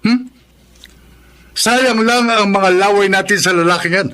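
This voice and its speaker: A man's voice: high-pitched, unhurried, pushed and bright. An actor playing a scornful older father who belittles his son in an audio drama. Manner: belittling, contemptuous. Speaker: father celebrating his birthday.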